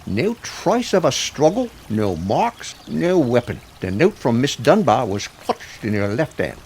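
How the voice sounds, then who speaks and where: A man acting as a working-class police sergeant in a radio-style mystery play. He is colloquial and respectful to his betters, with very animated pitch. There is clear noise in the recording. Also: a little slow. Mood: plain reporting. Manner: colloquial, deferential, ungrammatical. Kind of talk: audio theatre acting.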